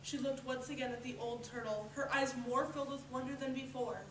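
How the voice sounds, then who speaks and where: Woman storyteller for readers theatre, scripted narration, somewhat high pitch, echoey and noisy recording. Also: fairly even intonation, a little fast. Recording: roomy, far mic, noisy background.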